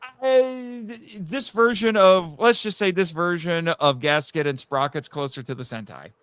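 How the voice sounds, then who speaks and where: A man talking casually as a guest about his writing, telling stories in an animated way and acting out quotes. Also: high voice, unhurried, smooth, no echo. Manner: animated storyteller, acts out quotes. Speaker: guest, audio drama writer. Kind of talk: casual chat.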